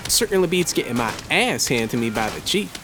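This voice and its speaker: A woman's voice: low voice, brisk, over music, voice pushed down. A woman voicing a cocky eighteen-year-old male rookie constable in a fantasy audio story, brash and casual. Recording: steady rain behind the voice.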